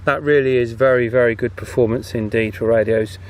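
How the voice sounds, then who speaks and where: A man hosting a casual video outdoors, chatting in a halting way and checking in often as he tests gear. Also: medium pitch, steady tone, darkish tone. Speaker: host. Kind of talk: casual outdoor video commentary and walkie-talkie testing. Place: outdoors on a path. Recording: outdoor background noise, faint.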